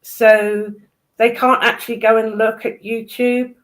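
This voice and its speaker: A woman's voice: on the high side, flat delivery, unhurried, poor audio. A woman in casual discussion, speaking in a blunt, opinionated way.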